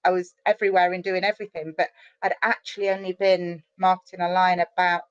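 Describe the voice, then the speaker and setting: A chatty, animated middle-aged woman from the UK talks as a guest over a video call, her sentences tumbling out with restarts and fillers. The sound is close and dry, a little dull and lo-fi. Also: fairly low pitch, fast talker, smooth, no echo. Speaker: guest, online marketer. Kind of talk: casual interview chat.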